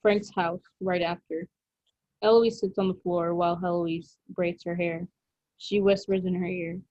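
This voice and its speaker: A woman narrates a screenplay's action lines in a fairly low voice. The recording is dry and somewhat dull, with little room sound. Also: fairly even intonation, measured pace, no room sound. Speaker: stage directions reader. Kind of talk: scripted narration, screenplay read aloud.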